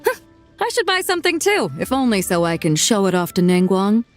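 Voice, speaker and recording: A woman's voice: mid-range voice, big pitch swings, brisk, faint music behind, close to an everyday voice. A woman voicing a bold, generous female ship captain who talks casually and laughs easily, in a game cutscene.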